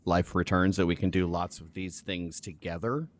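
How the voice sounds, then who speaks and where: A man's voice: low voice, steady tone, moderate pace. A man chatting casually and wisecracking with friends on a group call. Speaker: podcast cast member. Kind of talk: casual joking group chat.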